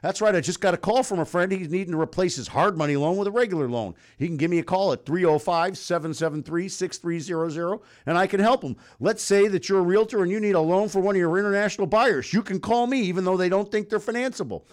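A man hosting talk radio, pitching and interviewing in an informal, folksy, direct salesman's way. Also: quick pace, few pauses. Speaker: radio host and mortgage lender.

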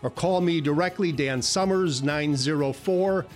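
A man presenting his own company in a scripted radio advertisement, with a deliberate sales delivery. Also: on the high side. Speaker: company chief executive. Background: light guitar music.

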